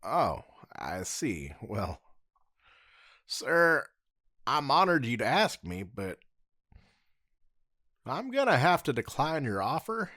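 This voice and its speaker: Brief: man voicing a muscular ex-ranger, polite and hesitant, lively pitch, dry mic. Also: slow, long pauses, dry recording, exaggerated voice. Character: reluctant muscular male ex-ranger. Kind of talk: audio drama acting.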